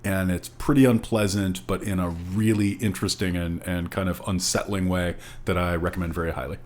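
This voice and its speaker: A man's voice: low-pitched, steady tone, brisk, slight background noise. A man co-hosting a podcast, chatting casually in a wry, hesitant way. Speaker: podcast co-host.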